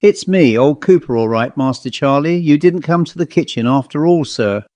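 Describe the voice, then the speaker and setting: A man's voice: fairly high pitch, expressive, normal speed, a little mellow, no echo, close to an everyday voice. A man voicing a fearful, superstitious old butler who talks in broad country dialect. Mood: nervous.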